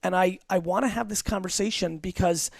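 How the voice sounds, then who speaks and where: High-pitched, emphatic man talking business. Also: creaky, dry recording, studio quality. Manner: emphatic, blunt, rapid-fire with profanity. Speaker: entrepreneur guest. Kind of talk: podcast interview.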